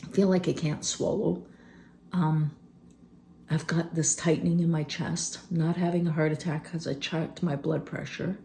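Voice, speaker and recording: A woman in her fifties talking candidly and unscripted in a vlog, rambling with many fillers and a phlegmy throat she keeps clearing. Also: sits low, steady tone, normal speed, mellow. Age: 50s. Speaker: vlogger. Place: rural homestead.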